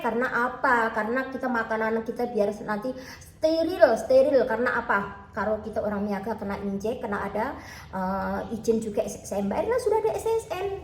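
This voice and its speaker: A woman's voice: on the high side, roomy sound. A woman from Indonesia with a Javanese accent mixed with Malay, chatting casually to her viewers from her kitchen and calling out to them again and again. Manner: chatty, keeps saying "teman-teman, ya", talks about herself in third person. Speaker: food-selling vlogger. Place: home kitchen.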